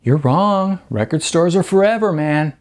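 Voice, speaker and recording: Audiobook role: brash young male dreamer, acted by a male narrator, excitable, slangy, insistent, very animated. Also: a little high, a little slow, pitched above the performer's own voice. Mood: defiant, boastful. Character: excitable, cocky young male slacker dreamer, record store clerk.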